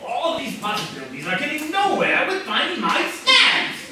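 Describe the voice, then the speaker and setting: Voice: high voice, huge pitch swings, normal speed. An actor playing a pompous, theatrical mystery guest in a staged comedy reading, speaking in old-fashioned exclamations. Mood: indignant, grand. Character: pompous theatrical mystery guest fretting over stolen snacks. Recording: very echoey room, distant mic, faint noise.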